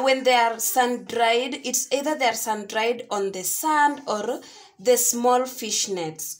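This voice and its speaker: A Kenyan woman giving step-by-step cooking instructions in an explanatory tutorial style. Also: a little high. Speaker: home cook, video host.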